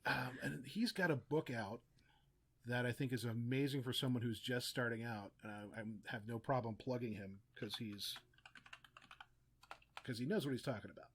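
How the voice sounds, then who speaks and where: A man's voice: mid-range voice, normal speed, fairly bright tone, slight background noise. Male podcast guest, middle register, conversational and chatty, offers practical advice in a loose, meandering way. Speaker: podcast guest, audio drama writer and producer. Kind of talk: casual interview chat, giving practical advice.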